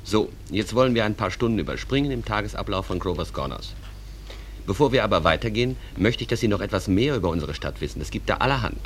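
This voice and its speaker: A genial stage manager in a radio drama, deep-toned and highly animated in pitch. He is courteous but brisk, steering things along politely. A clear background hiss sits on the recording. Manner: polite, briskly cuts speakers short. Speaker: narrator and master of ceremonies. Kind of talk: German radio play acting.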